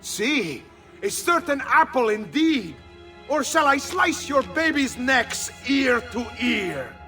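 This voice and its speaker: A man's voice: very high voice, big pitch swings, slow, bright, forceful tone, faint music behind, heavily acted. An actor playing a cruel, smug mercenary nobleman in a video game cutscene, speaking in a sneering, threatening way. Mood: menacing. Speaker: villain.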